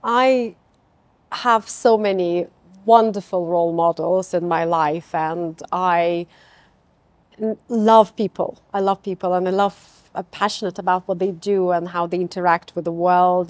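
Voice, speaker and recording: Woman, low and enthusiastic. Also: no room sound. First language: Lithuanian. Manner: enthusiastic, repeats words for emphasis. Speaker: company founder, interviewee. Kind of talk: conversational interview. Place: bright open office lounge with large windows.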